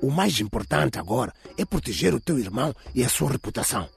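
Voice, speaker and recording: A man's voice: mid-range voice, very animated, fast, gravelly, husky, heavily acted. A man playing a defensive, cowardly father worried about appearances in a radio drama. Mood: anxious, hopeful.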